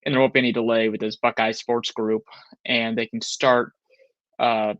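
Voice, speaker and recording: A man's voice: mid-range voice, flat delivery, moderate pace, a bit bright, no room sound, muffled recording. A man in his thirties co-hosting a sports talk show, rambling with restarts and frustrated. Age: 30s. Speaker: podcast co-host.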